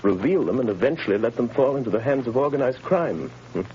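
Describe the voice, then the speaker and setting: An actor playing a suave, earnest gentleman crime fighter in a 1930s radio drama. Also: medium pitch, animated, fast, slight background noise, close to an everyday voice. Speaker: lead character, wealthy crime fighter. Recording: muffled 1930s radio broadcast.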